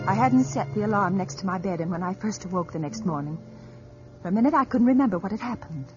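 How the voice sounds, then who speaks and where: A woman's voice: medium pitch, expressive, fast, lightly acted. An actress playing a tense, brittle woman tormented by noise, speaking precisely and dramatically in 1940s radio drama style. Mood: haunted, controlled. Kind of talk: 1940s radio drama acting, first-person narration. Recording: muffled old radio recording, loud music.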